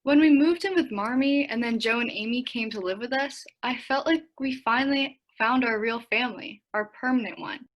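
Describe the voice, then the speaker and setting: A woman playing a gentle, worried teenage girl in a radio play, speaking earnestly. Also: fairly high pitch, normal speed, few pauses, lo-fi sound, lightly acted. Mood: uneasy.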